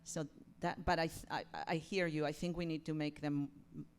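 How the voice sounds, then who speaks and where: A woman moderating an informal post-show discussion, thinking out loud in an animated way and readily interjecting. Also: low voice, moderate pace, clean tone. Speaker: discussion moderator, theatre director.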